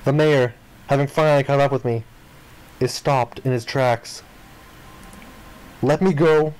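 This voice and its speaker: A man's voice: mid-range voice, a little mellow, clear, resonant tone, poor audio. Man dramatically reading story text aloud. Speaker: let's player reading a visual novel aloud. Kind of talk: dramatic read-aloud narration of game text.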